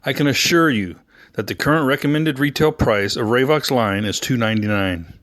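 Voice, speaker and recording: An actor playing a weary, stubborn small-town shopkeeper in a radio drama, stiffly polite and exasperated. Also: male voice, mid-range voice, normal speed, a bit bright, no room sound, natural voice.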